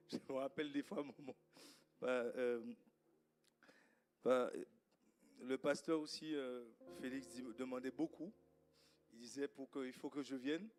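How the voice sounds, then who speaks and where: A man from Guadeloupe with a Guadeloupean accent, whose first language is Creole, greeting a congregation as guest preacher in a halting way with many fillers. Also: medium pitch, fairly even intonation, a little mellow, soft background music. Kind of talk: informal welcome before a sermon. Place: church service.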